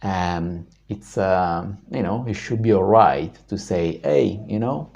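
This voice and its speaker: A man with a deep baritone voice tells a personal story as a vlog host, reflective and full of pauses and fillers. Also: lively intonation, creaky, darkish tone, highs cut off.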